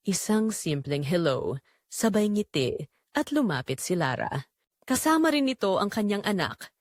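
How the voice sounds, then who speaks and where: A woman narrating a romantic drama in a measured, sentimental storytelling manner. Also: medium pitch, no echo, studio quality.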